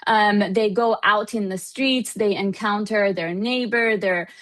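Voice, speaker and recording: A woman's voice: mid-range voice, unhurried, fairly bright tone. A woman from Iran, a researcher, explaining her work in an interview in a thoughtful, hedging way peppered with "you know.". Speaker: researcher and professor being interviewed.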